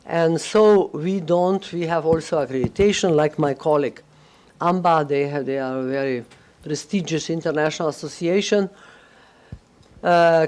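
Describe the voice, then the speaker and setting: A woman speaking English as a non-native speaker on a conference panel, halting and searching for words, with many fillers. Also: low voice, darkish tone. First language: neither English nor Russian. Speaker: panelist, head of a management school association. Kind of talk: panel discussion remarks.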